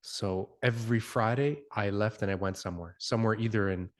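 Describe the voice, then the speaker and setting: Hesitant low-voiced man, interview guest. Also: a little fast. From Canada. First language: Italian. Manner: hesitant, many fillers and restarts, reflective. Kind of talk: conversational interview.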